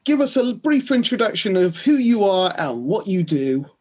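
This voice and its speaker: A man's voice: sits high, big pitch swings, moderate pace, slightly rough. A middle-aged man co-hosting a podcast in casual chat over a video call. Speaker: podcast host. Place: indoors, home room.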